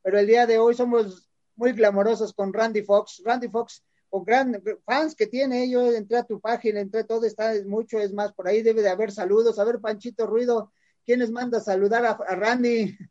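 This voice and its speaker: A man's voice: sits high, fairly even intonation, normal speed, a little mellow, lo-fi sound. A man hosting a radio show, rambling and repeating words as he talks. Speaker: radio host. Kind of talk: radio interview chat.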